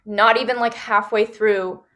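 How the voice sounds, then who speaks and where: Young woman, medium pitch, podcast guest, personal storytelling, casual youthful wording with lots of "like". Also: fairly even intonation, a little slow, a bit bright. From Singapore and Los Angeles. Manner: youthful casual phrasing, frequent "like" and "you know". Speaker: panel guest.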